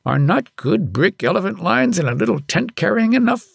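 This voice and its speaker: A man's voice: high voice, big pitch swings, normal speed, vocal fry, husky, no room sound, studio quality, voice pushed up, heavily acted. A man voicing a proud, grumbling middle-aged elephant driver who scolds in archaic speech for an audiobook. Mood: indignant scolding. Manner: indignant, archaic thee-and-thou speech. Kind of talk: audiobook character voice.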